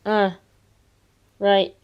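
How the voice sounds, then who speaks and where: A woman's voice: medium pitch, little pitch movement, drawn-out words, clear, resonant tone, muffled recording. A woman playing a prim, earnest schoolchild with grown-up airs in an audio drama. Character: studious, precocious schoolchild nephew.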